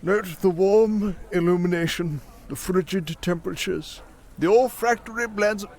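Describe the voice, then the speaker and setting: Man putting on a high-pitched pompous nature documentary narrator. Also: a little mellow. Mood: solemn, mock-awed. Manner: measured, solemn, mock-grand.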